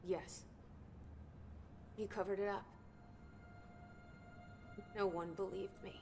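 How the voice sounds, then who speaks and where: A guarded, determined young heroine from a video game, speaking in short, clipped lines. Her voice sits mid-range, with clear hiss and noise behind the game audio. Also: medium pitch, level tone, takes it slowly. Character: determined young woman hunting for her lost brother.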